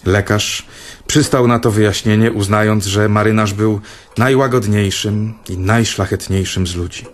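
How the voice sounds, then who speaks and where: An actor playing a vain, theatrical older brother in a radio play, telling tales in a pompous manner. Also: male voice, lowish voice, steady tone, moderate pace, a little hiss or hum, lightly acted. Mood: grandiose storytelling. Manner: pompous, storytelling.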